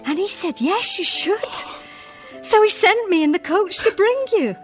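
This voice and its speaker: Excited, affectionate young girl, high animated voice, emphatic delivery, family radio drama. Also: unhurried, faint music behind. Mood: eager. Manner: breathless, gushing, emphatic.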